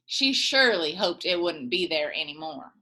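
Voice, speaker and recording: A woman teacher reading a picture book aloud to young children, expressive and full of playful asides. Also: low-pitched, normal speed. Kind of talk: children's storytime read-aloud.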